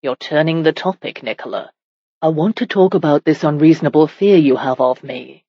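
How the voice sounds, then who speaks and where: A woman voicing a commanding, protective medieval warrior husband in a romance audiobook, firm, measured and often exasperated. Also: sits low, breathy edge, no echo, dull audio.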